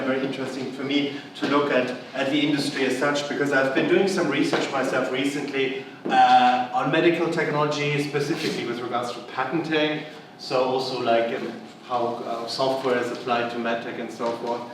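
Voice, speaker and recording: A middle-aged man with a German accent gives a chatty conference lecture, full of fillers and restarts. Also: mid-range voice. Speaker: university lecturer. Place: large conference hall. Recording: echoey hall, voice from far off, background noise.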